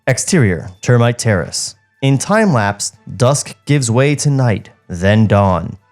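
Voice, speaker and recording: A male podcast co-host reading a script in a studio, with a medium-pitched voice. He moves between narration and casual chat, over background music. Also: big pitch swings, takes it slowly, darkish tone.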